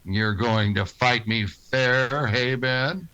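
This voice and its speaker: A man playing a stern, wry frontier marshal in a western radio drama, steady and unyielding. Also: mid-range voice, slow, smooth, dull audio. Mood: dry, watchful.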